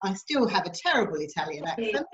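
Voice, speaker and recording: A woman's voice: medium pitch, big pitch swings, quick pace, noisy background. A woman from England in her fifties, chatting on a video call in a jokey, self-mocking way with frequent laughs. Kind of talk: casual chat. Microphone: video call laptop mic. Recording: dull lo-fi video call audio.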